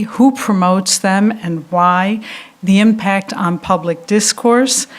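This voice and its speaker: American woman giving a measured lecture. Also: medium pitch. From United States. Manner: measured, with frequent ums and uhs. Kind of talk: public lecture.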